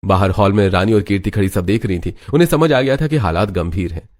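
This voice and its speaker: Male narrator for animated family melodrama, scripted storytelling, lowish pitch. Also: fast.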